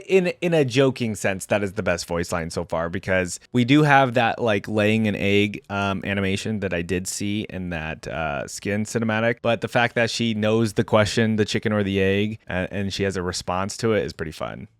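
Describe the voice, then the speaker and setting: A man's voice: fairly low pitch, expressive, normal speed, few pauses, clean tone, no room sound, clean studio sound. A man hosting a reaction video, giving casual, informal commentary as he thinks aloud.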